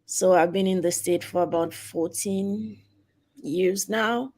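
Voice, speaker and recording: A woman in her forties from Haiti with a heavy Haitian accent, talking as an interview guest in a halting, casual way with many fillers. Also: fairly low pitch, fairly even intonation, darkish tone. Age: 40s. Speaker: guest, travel advisor.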